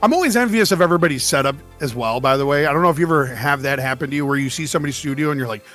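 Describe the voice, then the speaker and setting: A man's voice: on the high side, animated, racing, a bit bright. A man co-hosting a livestream in casual chat, playful and self-mocking, often acting out bits of dialogue. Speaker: livestream co-host. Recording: music playing underneath. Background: music.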